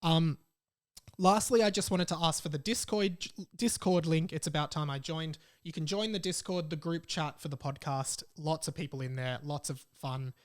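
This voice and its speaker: A man's voice: fairly high pitch, normal speed. A young adult man with an Australian accent, hosting a casual podcast in a rambling, jokey way. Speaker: podcast host. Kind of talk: casual solo podcast chat.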